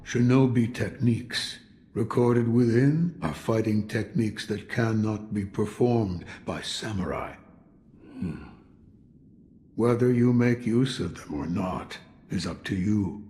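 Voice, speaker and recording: A man voicing a gruff, weary old sculptor who speaks tersely. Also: fairly low pitch, slow, warm tone, slight background noise. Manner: terse, gravelly, grudging.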